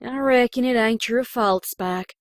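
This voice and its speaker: A woman's voice: a little high, unhurried, close to an everyday voice. A woman with a Southern US accent voicing a plainspoken, protective young farm mare in an audio drama. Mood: calm explaining. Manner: folksy, plainspoken, soothing. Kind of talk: scripted audio drama character acting.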